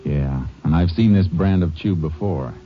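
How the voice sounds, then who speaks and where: An American man acting a calm, methodical Texas Ranger investigator in a clipped, matter-of-fact 1950s radio drama style. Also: sits low, moderate pace, slight background noise, lightly acted. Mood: probing. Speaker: lead actor and narrator. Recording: dull vintage radio recording with music.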